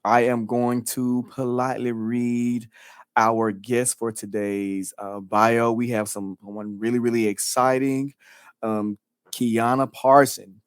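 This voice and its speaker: A man's voice: medium pitch, smooth, dry recording, studio quality. A man co-hosting a podcast who talks in a playful, chatty way with lots of fillers. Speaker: podcast co-host. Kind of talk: casual podcast chat.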